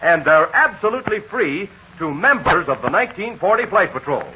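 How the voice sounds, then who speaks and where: A man's voice: sing-song, a little fast, noisy background. Peppy 1940s radio ad announcer, man. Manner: peppy, folksy pitch to kids. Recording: muffled 1940s radio transcription.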